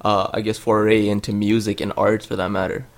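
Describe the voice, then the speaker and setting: A man's voice: lowish voice, little pitch movement, a little fast. A man in his thirties hosting an interview, chatting casually and answering mostly with short, easygoing agreements. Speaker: podcast host.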